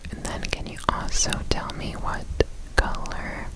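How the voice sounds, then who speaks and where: A woman whispering an ASMR roleplay as an examiner, gentle and reassuring, repeating words softly in a breathy whisper. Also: measured pace, rough recording. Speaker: ASMR performer playing an examiner.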